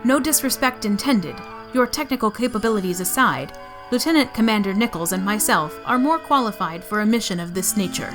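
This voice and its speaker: A woman's voice: mid-range voice, expressive, a little fast, over music, natural voice. A woman playing a coolly logical starship science officer in a sci-fi audio drama, speaking formally and precisely. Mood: cool, composed.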